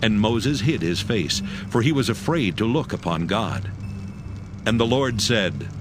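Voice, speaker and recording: A man's voice: fairly low pitch, lively intonation, measured pace. A man narrating scripture as storyteller in a dramatized audio production. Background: music.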